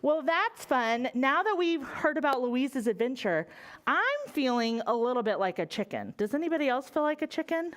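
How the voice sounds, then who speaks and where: A woman's voice: high voice, animated, normal speed. An American woman reading a picture book aloud to young children, expressively and with questions for them along the way. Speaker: children's library storyteller.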